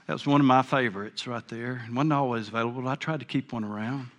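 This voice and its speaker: A man's voice: mid-range voice, steady tone, quick pace, background noise. An older man preaching a sermon, earnest and folksy, with a big voice. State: a bit hard of hearing. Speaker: pastor.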